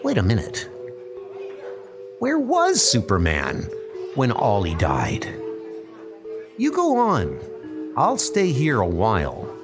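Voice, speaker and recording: A man's voice: wildly swooping pitch, full character voice. A man voicing an earnest, hesitant young superhero in a comic book dub. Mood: doubtful. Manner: hesitant, higher-pitched, hurried. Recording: music bed under the voice.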